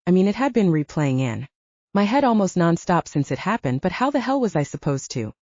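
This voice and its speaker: A woman's voice: lowish voice, sing-song, brisk, darkish tone, no echo, dull audio. A woman narrating scripted first-person fiction as an audiobook reader.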